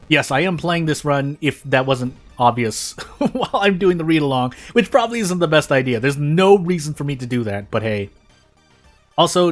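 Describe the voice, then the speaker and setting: A man giving casual gameplay commentary in a rambling, self-interrupting, self-deprecating way, often losing his train of thought. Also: medium pitch. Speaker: video creator and gamer.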